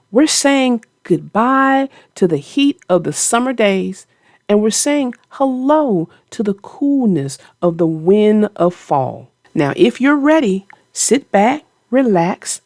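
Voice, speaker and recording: A woman's voice: medium pitch, big pitch swings, slow pace, darkish tone, no echo, clean studio sound. A woman hosting a children's read-aloud, speaking as a storyteller to young listeners. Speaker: children's storybook narrator and channel host.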